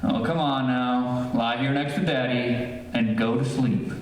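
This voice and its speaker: A man's voice: medium pitch, steady tone, measured pace, heavy background noise, lightly acted. A man playing a worn-out, exasperated father who grumbles in an old-time radio comedy. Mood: weary, exasperated. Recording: very echoey hall, distant mic.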